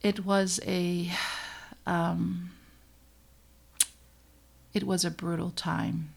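A middle-aged woman from the Netherlands, a pediatrician hosting her own podcast, narrating in an earnest, measured and reflective way with emphatic pauses. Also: fairly low pitch, flat delivery, gravelly, slightly rough. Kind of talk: scripted personal podcast narration.

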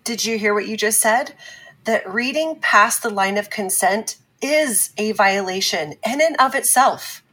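Female advocate, slightly husky, mid pitch, measured, earnest, firm, audio drama. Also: bright, forceful tone. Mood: firm, pressing. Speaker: talk-show commentator. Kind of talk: scripted fiction podcast acting.

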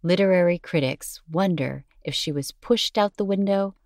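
A woman narrating a scripted educational piece in a measured, articulate way. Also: lowish voice.

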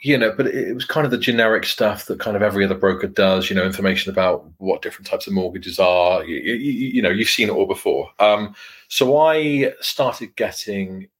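Low-voiced, modest male guest. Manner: measured, modest explaining with fillers. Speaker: guest, mortgage broker. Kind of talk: casual interview chat.

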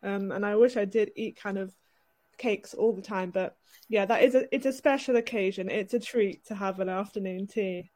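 A British woman, a writer and editor, speaking softly and hesitantly as a guest in a casual interview over a video call. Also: medium pitch, moderate pace. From United Kingdom. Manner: soft-spoken, hesitant with many ums.

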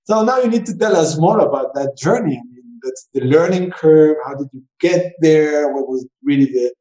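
A man hosting a podcast interview, with a lively, upbeat delivery that lifts sharply in pitch. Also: moderate pace. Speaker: podcast host. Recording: roomy echo with faint music.

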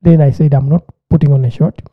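Animated man on a tinny line. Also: fairly high pitch, quick pace, slightly rough. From Uganda. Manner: animated storyteller, repeats words, acts out his own reactions. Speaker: podcast co-host. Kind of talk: casual chat.

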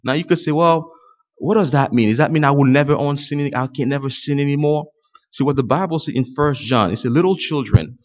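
Lively French-speaking preacher, lo-fi. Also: male voice. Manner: asks the congregation to fill in words; swings from loud high exclamations to low quiet asides. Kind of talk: call-and-response sermon. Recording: dull lo-fi sound.

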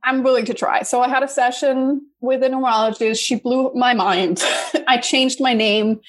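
A woman's voice: fairly high pitch, normal speed. A young woman from Denmark, a podcast guest chatting casually and acting out her own reactions in quotes. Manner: lively, acts out her own past reactions in quotes, laughs often. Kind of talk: casual interview chat.

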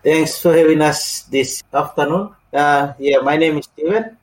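A man from Papua New Guinea, a conservation ranger, speaking plainly and a little haltingly as a podcast guest. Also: a little high, rough recording. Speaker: head community conservation ranger. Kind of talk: casual podcast interview.

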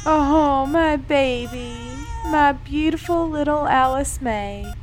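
Audio play role: woman as emotional new mother, high and slow, tearful, over noisy ambience. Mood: tearful tenderness. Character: emotional new mother cradling her baby.

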